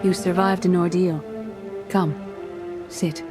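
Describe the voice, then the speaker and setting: Stern old master lady in a game, bit low, dry, loud music behind. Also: fairly even intonation, slow pace. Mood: brusque. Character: stern, weathered female Jedi master, dryly hospitable. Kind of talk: dramatic video game acting.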